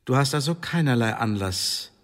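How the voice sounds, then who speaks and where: An actor in a German radio drama playing a cold, mocking secret service tribunal chief, officious and commanding. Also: male voice, medium pitch, animated, normal speed, close to an everyday voice. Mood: stern, emphatic. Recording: echoey hall, clear background noise.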